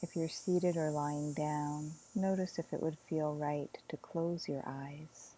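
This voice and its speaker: Low, very slow woman guiding meditation. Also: steady tone. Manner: gentle, soothing, unhurried with long pauses. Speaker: meditation teacher in training. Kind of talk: guided meditation.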